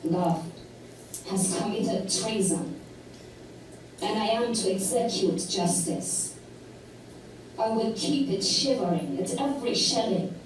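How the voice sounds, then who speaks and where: A woman poet giving a measured, deliberate poetry reading at a public talk in a lecture hall. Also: medium pitch, a little hiss or hum. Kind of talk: slow recited poetry reading. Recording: echoey hall, distant mic.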